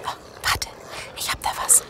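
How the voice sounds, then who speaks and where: A woman playing a worried, cautious zombie-apocalypse survivor in a German audio drama, breathy and anxious. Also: moderate pace, whispered, close to an everyday voice. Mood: hushed, worried. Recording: dramatic music under the voice.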